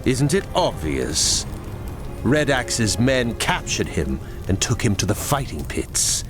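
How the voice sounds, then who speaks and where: An actor playing a stern, blunt ally in a fantasy video game, speaking with weighty scorn. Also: male voice, mid-range voice, big pitch swings, slow. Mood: scornful, grim. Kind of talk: video game cutscene acting. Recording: loud music behind.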